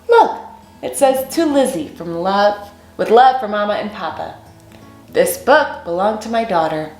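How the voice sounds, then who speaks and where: A kindly old storybook auntie, as a young woman reads her aloud. She is gentle and reassuring, with expressive phrasing. The sound is roomy, with faint music underneath. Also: mid-range voice, a little slow, lightly acted. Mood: delighted.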